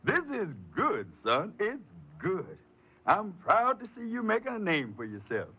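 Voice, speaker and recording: Husky, wheedling, pious old American father. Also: high voice, wildly swooping pitch, unhurried, heavily acted. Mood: proud, pious. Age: older. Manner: folksy, scripture-quoting, cajoling. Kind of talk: 1950s American radio drama acting. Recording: muffled old radio recording.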